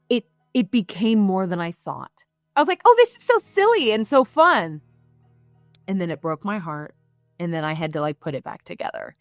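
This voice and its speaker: A young woman voice actor chatting in an interview, animated and gushing, full of fillers and quick asides. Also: mid-range voice, no echo. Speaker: voice actor guest. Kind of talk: casual interview chat.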